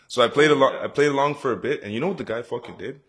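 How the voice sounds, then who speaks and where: A man's voice: mid-range voice, fast talker, a bit bright. A young man co-hosting a casual podcast, laid-back, telling stories and laughing along. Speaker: podcast co-host. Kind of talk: casual banter, storytelling.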